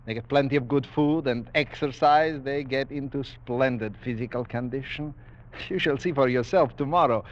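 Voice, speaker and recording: An actor playing a suave, menacing aristocratic general who hunts men for sport, speaking with courtly, formal boastfulness in 1940s radio style. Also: male voice, medium pitch, normal speed, close to an everyday voice. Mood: urbane, sinister. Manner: courtly, formal, coolly boastful, savoring his words. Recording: dull vintage 1940s radio broadcast.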